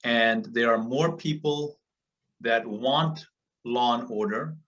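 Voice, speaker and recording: Earnest man, bright voice, muffled call. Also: mid-range voice, slow pace. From Africa. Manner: earnest, emphatic repetition. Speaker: speaker on a group call. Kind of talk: motivational speech. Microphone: call audio. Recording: muffled, distant call audio.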